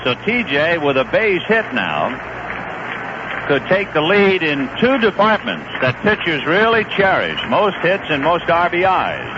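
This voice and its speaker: A man calls baseball play-by-play in a slightly high voice that rises and falls freely. He speaks conversationally and lifts into bursts of excitement on big plays. The sound is a dull old radio broadcast under a clear layer of hiss. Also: a little slow, a crowd in the background. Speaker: play-by-play announcer.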